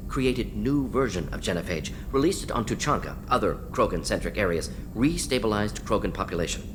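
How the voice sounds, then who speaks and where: Man acting brainy alien scientist, game character, low voice, clipped rapid-fire lists, pragmatic, rumbling noise behind. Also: animated, natural voice. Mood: clinical. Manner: clipped telegraphic phrases, rapid-fire listing. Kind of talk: video game dialogue acting.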